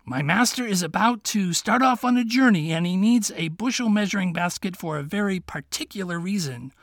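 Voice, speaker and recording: A man's voice: high-pitched, fairly quick, a bit bright, dry recording, studio quality, voice pushed up. A man voicing a clever, scheming male fox who talks in a coaxing, confiding way in a storybook tale. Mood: sly courtly.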